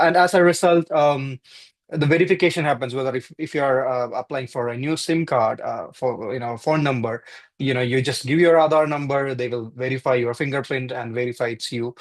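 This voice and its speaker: A man from India gives a webinar presentation, explaining things step by step with frequent "uh" fillers. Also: medium pitch. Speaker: panelist presenter.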